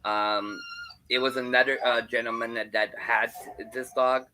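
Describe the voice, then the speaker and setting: A man's voice: medium pitch, level tone, measured pace, background noise. A man, chatting as a guest on a livestream, rambling with fillers and restarts. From Hamilton, Ontario, Canada. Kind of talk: casual chat on a livestream.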